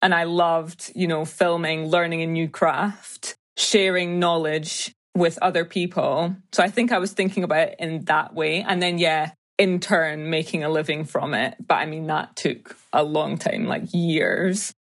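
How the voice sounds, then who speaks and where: Low-voiced Scottish woman, chatty guest. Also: fairly even intonation, unhurried, few pauses. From Scotland. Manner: chatty, with frequent light laughs and fillers. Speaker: guest, YouTube creator. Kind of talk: casual interview chat.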